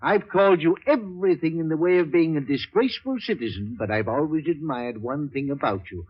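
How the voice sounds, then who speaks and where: Husky, swooping, florid old boozer. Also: male voice, a little high, expressive, a little fast. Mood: coaxing. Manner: florid, declamatory, teasing. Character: theatrical older boozy ex-doctor, florid, loyal male friend. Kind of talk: 1940s radio drama acting. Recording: dull 1940s radio recording.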